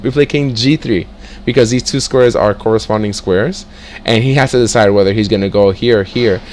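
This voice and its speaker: A young man with a lowish voice talks through a chess lesson, reading out moves one by one and breaking into excited exclamations. A faint air-conditioner hum sits under his voice. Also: a little fast. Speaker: chess tutor. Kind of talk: casual screen-share lesson, thinking aloud.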